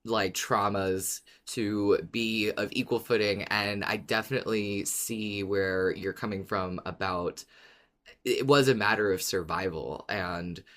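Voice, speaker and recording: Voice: low voice, fairly even intonation, a little slow, fairly bright tone. A podcast co-host in casual discussion, agreeable and repeating phrases for emphasis.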